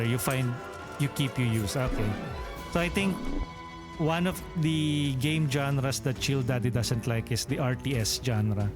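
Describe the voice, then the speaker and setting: Filipino man streaming a game, music behind. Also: medium pitch. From Philippines. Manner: thinks aloud while reading menus, chatty with viewers. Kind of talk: live let's play commentary.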